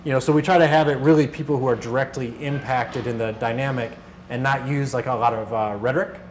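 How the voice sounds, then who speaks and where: A man with a mid-pitched voice presents informally to a workshop. He talks conversationally, like an organizer sharing stories, and there is clear noise behind him. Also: fairly even intonation, fast. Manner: conversational, acts out reported dialogue. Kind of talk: informal workshop talk with anecdotes. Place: workshop room, live talk.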